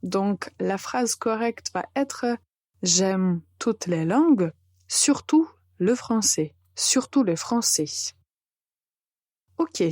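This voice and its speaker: A woman in her thirties from Serbia with a Serbian accent, hosting a podcast lesson calmly with frequent hesitations. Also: medium pitch, dry recording, studio quality. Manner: calm, deliberate, with frequent hesitations. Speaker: French teacher and podcast host.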